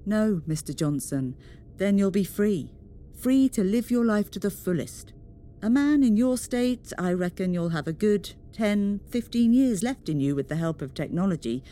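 A woman playing a calm, reassuring official in a dystopian audio drama. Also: normal speed, lightly acted. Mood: encouraging. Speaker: witness care officer. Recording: clear background noise with low rumble.

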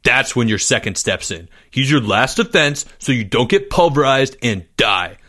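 A man's voice: medium pitch, lively intonation, moderate pace, sharp tone. A man voicing a mocking know-it-all schoolboy with a taunting manner, from a storybook.

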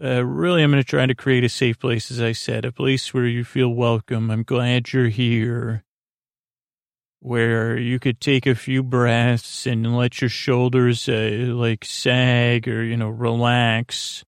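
Creaky-voiced man rambling softly to sleep. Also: mid-range voice, flat delivery, dry recording, studio quality. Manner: meandering, halting, stammering, repeats himself; soothing, friendly. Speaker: podcast host. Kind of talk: rambling bedtime monologue.

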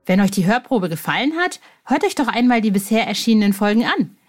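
A woman's voice: medium pitch, big pitch swings, fast. A woman announcer reading scripted promotional narration addressed to listeners. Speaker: advertising announcer.

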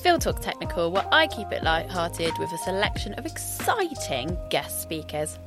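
A woman's voice: lowish voice, very animated, normal speed, music in the background. A woman hosting a podcast, introducing the episode and interviewing a guest. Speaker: podcast host.

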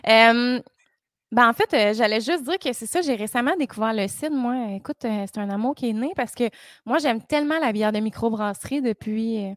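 A woman in her twenties with a Québécois French accent, chatting casually as a podcast co-host, giggly and full of lively asides. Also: on the high side, moderate pace, no room sound, studio quality. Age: 20s. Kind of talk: casual chat.

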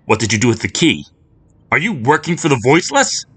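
A man's voice: sits low, lively intonation, normal speed, a bit bright, slightly rough, heavily acted. A man voicing a sinister, formal stranger who talks in a cold, demanding way. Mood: furious.

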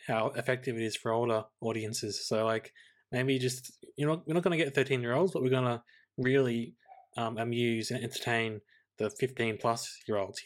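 Male Australian co-host, middle register, conversational film chat, measured delivery that works through thoughts aloud. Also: mid-range voice. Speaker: podcast co-host. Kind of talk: casual film discussion.

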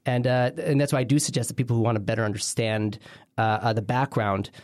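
A man's voice: mid-range voice, steady tone, fast, darkish tone, no echo, clean studio sound. A man from Israel with an American accent, giving conversational interview answers as a guest scholar in a hesitant, wordy, self-interrupting way.

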